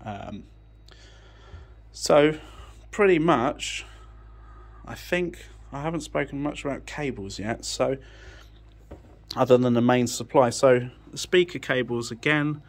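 A man's voice: medium pitch, takes it slowly. A man giving an informal technical explanation in a matter-of-fact, unscripted way, thinking as he goes. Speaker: audio technician presenting a tech channel. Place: indoors, beside an amplifier rack.

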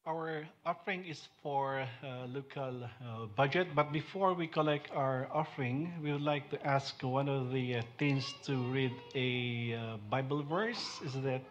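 A man's voice: medium pitch, unhurried. A middle-aged man giving offering announcements at a church service, hesitant and full of fillers, at a lectern microphone. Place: church hall. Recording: dull livestream audio, faint room noise.

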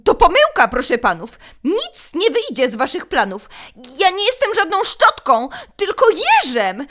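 A woman voicing an excitable, tearful orphan hedgehog boy in a melodramatic children's storybook reading. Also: very high voice, measured pace, voice pushed up, heavily acted. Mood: panicked, indignant. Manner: melodramatic, exclamatory.

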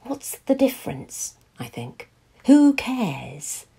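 Weary, wry mother, measured pace. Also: on the high side, sing-song, exaggerated voice. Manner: confiding, drifting into hushed breathy whispers. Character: weary, wry, anxious mother of a young boy. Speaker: actor in an audio play. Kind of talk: intimate dramatic monologue.

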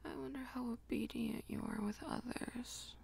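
A woman voicing a jealous, dominant vampire lover in intimate ASMR roleplay, mostly in a breathy whisper with a teasing, commanding manner. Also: on the high side, fairly even intonation, normal speed, a little mellow, exaggerated voice. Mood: jealous, possessive. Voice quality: breathy, husky whisper with creaky edges.

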